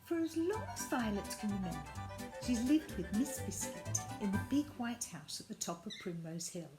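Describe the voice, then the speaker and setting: Very animated woman storyteller. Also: medium pitch, moderate pace, music in the background. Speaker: children's storyteller. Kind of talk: expressive storybook narration. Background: added sound effects and faint noise.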